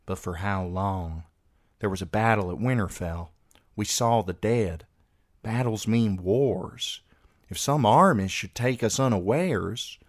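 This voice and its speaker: Solemn boy beyond his years, read by a man, cautious, measured and slow, for a storybook. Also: on the low side, dry recording, clean studio sound. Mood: grave. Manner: grave, measured, foreboding.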